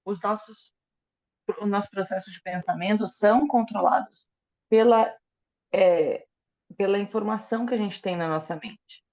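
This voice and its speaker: A woman interprets a lecture sentence by sentence, filling gaps with hesitations and quick restarts. Her voice is dark and mellow, and the recording sounds somewhat dull and muffled. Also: mid-range voice. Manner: hesitant, with fillers and self-corrections. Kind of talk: consecutive interpreting into Portuguese.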